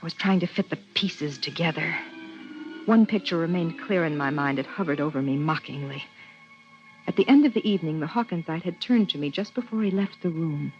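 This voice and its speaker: A woman's voice: sits low, fairly quick, a little mellow, faint music behind, natural voice. An actress playing a thoughtful, uneasy biologist wife who tells her story in a 1950s radio drama. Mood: reflective, uneasy. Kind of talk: 1950s radio drama, first-person narration and dialogue. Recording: dull vintage 1950s radio recording.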